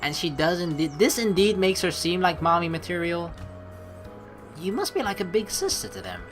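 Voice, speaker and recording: Animated, lower-voiced woman gaming host. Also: moderate pace. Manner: expressive, playful. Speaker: let's play host. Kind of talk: reading game narration and dialogue aloud with casual commentary. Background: game music.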